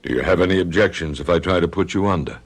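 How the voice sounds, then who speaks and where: An actor playing a calm doctor in a radio drama, measured and questioning. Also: male voice, deep voice, breathy edge. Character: calm male doctor, a psychiatrist. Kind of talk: 1970s radio drama acting.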